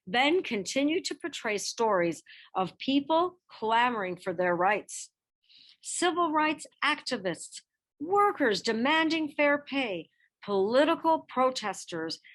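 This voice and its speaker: Woman, high-pitched voice, very animated intonation, storybook narration, expressive read-aloud, deliberate emphasis.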